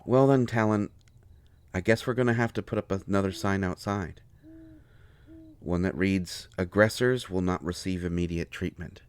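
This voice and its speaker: Low-voiced, earnest male healer acted by a man. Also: steady tone, moderate pace, smooth, dry recording, lightly acted. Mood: tender, reassuring. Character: devoted, anxious male healer, tender and exasperated with partner. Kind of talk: intimate roleplay audio drama monologue.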